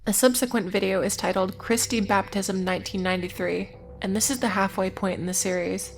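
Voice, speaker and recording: A woman delivers scripted video essay narration with little rise and fall in her medium-pitched voice. She keeps it informal, slipping in wry asides as she recaps, and music plays underneath. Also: fairly even intonation, brisk.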